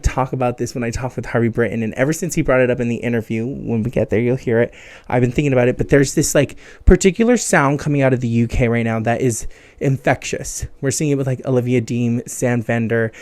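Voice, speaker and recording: A man's voice: mid-range voice, a little mellow, dry recording. Casual, enthusiastic man hosting, dry close sound. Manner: chatty, enthusiastic, slangy. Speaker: podcast host. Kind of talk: casual music show hosting.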